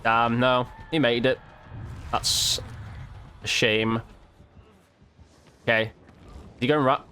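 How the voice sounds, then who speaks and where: A man's voice: mid-range voice, fairly even intonation, slow, sharp tone. A man giving casual, informal gameplay commentary as a video host, with slang and mild swearing.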